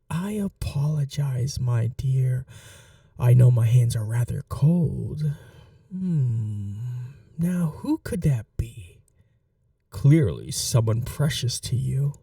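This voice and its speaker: Sadistic male vampire lord, played by a male actor, ASMR roleplay: unhurried, courtly, taunting, breathy, with swooping theatrical intonation. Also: medium pitch, warm tone, no room sound, studio quality, far from the mic, heavily acted. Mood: mock tenderness. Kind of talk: dominant ASMR roleplay acting.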